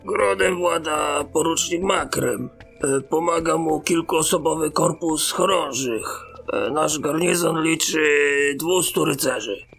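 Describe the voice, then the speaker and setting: A man's voice: fairly high pitch, slow, vocal fry, a bit bright, breathy edge, faint music behind, exaggerated voice. A man acting a dutiful garrison officer in a fantasy audio drama, speaking formally and respectfully. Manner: formal, deferential.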